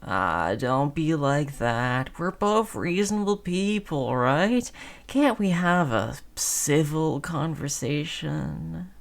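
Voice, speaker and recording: A woman's voice: sits low, animated, slow pace, vocal fry, heavily acted. A woman playing a sly, chummy female zombie in an audio roleplay, coaxing in a falsely friendly, hesitant conversational way. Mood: cajoling, reasoning.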